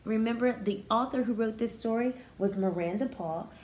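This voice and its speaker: A woman's voice: a little high, normal speed, a little mellow. A woman teacher doing a picture-book read-aloud, expressively explaining words and feelings in simple terms for young children. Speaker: pre-K teacher reading aloud.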